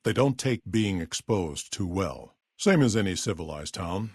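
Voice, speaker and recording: Weary super mutant leader, low husky voice, expressive pitch swings, blunt authority, video game character. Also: male voice, unhurried, no echo, studio quality, full character voice. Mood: calm, blunt. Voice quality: deep, gravelly, husky. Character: gruff, weary, level-headed super mutant town leader.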